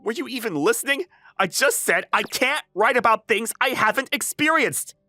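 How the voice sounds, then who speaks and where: An exasperated high school boy acted by a man with a highly animated, swinging delivery. He is a flustered, introverted young writer from an anime comic dub who lectures dramatically, with music playing underneath. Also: moderate pace, heavily acted. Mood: exasperated, frantic. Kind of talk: anime-style comic dub acting with narration.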